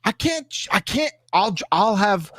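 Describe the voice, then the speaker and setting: A man co-hosting a podcast, chatting casually and jokingly in an expressive, emphatic way. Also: sits high, normal speed, no room sound, studio quality. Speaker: podcast co-host. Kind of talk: casual comedic group chat.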